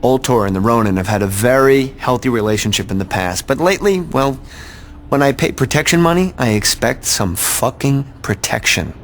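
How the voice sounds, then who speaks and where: An actor playing a smug, threatening corporate executive in a video game, speaking with pointed condescension. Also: male voice, mid-range voice, animated, moderate pace, slight background noise, close to an everyday voice. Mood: smug displeasure. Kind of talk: video game cutscene acting.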